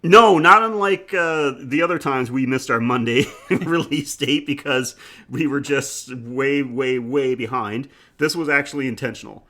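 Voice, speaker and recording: A man's voice: mid-range voice, a little slow, pushed and bright. A man co-hosting a podcast, chatting casually about films in a jokey, bantering way. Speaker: podcast co-host. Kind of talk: casual film discussion chat.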